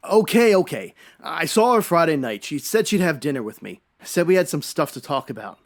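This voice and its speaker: A man's voice: a little high, big pitch swings, a little fast, creaky. A man playing a nervous, evasive husband under suspicion in a radio detective drama, hesitant and pleading. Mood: anguished pleading. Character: nervous, evasive husband cornered as a murder suspect. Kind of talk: old-time radio detective drama acting.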